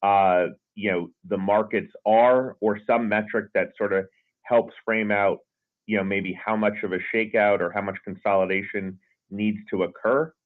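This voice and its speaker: A male analyst speaks on a conference call, posing questions in unscripted business talk. His voice sits on the low side. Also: steady tone, moderate pace, narrow, tinny audio. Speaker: financial analyst asking questions.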